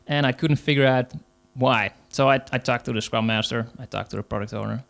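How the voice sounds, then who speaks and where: A man's voice: mid-range voice, a little fast, creaky, fairly bright tone. A man with a Dutch accent, speaking English as a second language, gives a conference talk as an agile coach, hesitant, with many fillers. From Netherlands.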